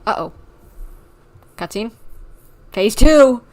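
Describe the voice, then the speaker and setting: A woman livestreaming a game, commenting and reading dialogue aloud, excitable and cheering herself on. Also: a little high, takes it slowly. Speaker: game streamer.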